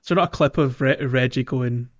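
Slightly dull, lo-fi sound on a man with a medium-pitched voice. He co-hosts a casual gaming podcast, talking loosely and often breaking into giggles between phrases. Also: moderate pace. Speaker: podcast co-host.

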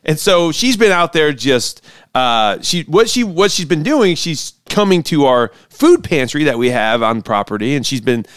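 A man, a pastor and show host, chatting casually and telling stories in an animated way, acting out quotes. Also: fairly high pitch, moderate pace, smooth, no room sound, studio quality. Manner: animated, acts out quotes mockingly. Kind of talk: casual chat and storytelling.

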